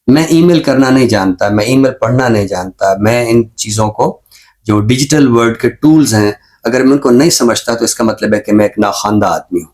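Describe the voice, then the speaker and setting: A middle-aged Pakistani man, a professor and poet, speaking in a measured, professorial way and repeating phrases for emphasis on a literary show. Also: mid-range voice, a little mellow. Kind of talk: reflective literary talk and poetry recitation.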